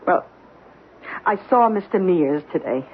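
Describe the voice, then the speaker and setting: A woman's voice: on the low side, lively intonation, unhurried. A tinny, slightly noisy old radio recording carries a working-class wife. She speaks earnestly and a little hesitantly, coaxing with hope. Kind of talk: 1970s radio drama acting. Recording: phone-like, noisy 1970s radio broadcast recording.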